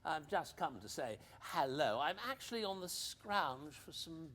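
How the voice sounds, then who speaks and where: A man's voice: on the high side, lively intonation, unhurried, a bit bright. An actor playing a breezy, cheeky upper-class English ex-army captain in a radio play, with hearty old-boy banter. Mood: jovial, cheeky.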